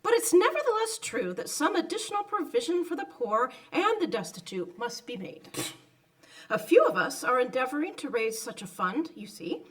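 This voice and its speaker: A woman's voice: sits high, sing-song, moderate pace, fairly bright tone, far from the mic, heavily acted, poor audio. A woman playing a polite, earnest genteel lady raising funds, speaking formally in old-time radio drama style. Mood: earnest, persuasive. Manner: courteous, formal, persistent.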